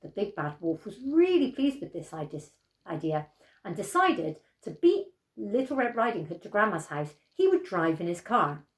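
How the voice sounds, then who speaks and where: Animated older woman telling a story. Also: medium pitch, normal speed, echoey room.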